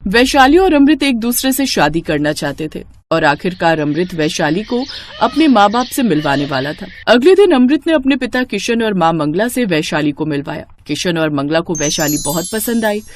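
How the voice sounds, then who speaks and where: A woman narrates a cartoon family story and voices the young wife. Her delivery is lively and expressive, with a pitch that moves a lot. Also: moderate pace, a little hiss or hum.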